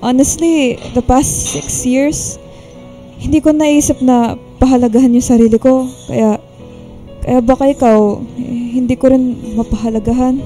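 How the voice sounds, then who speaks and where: A woman's voice: high-pitched, fairly even intonation, a little slow, pauses often, mellow, soft background music, low-quality recording. A woman acting a shy, insecure young woman in a Filipino radio drama, hesitant and coy. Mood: earnest, insecure. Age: young adult.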